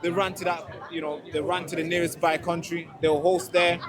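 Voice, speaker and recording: A man's voice: a little high, little pitch movement, fairly quick, a crowd in the background. A man born in a refugee camp in Tanzania, interviewing people at an outdoor protest in a friendly, questioning way. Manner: friendly, questioning, with fillers and restarts. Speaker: street interviewer. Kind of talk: casual street interview.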